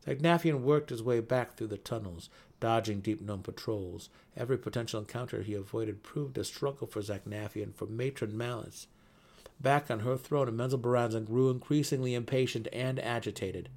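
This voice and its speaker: A man's voice: mid-range voice, moderate pace, a little mellow. A man narrating a fantasy story in a dramatic reading style.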